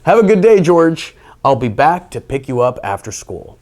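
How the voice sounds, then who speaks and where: A man's voice: medium pitch, sing-song, normal speed, darkish tone, lightly acted. A man voicing a friendly, courteous male guardian in a children's storybook. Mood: cheerful, courteous.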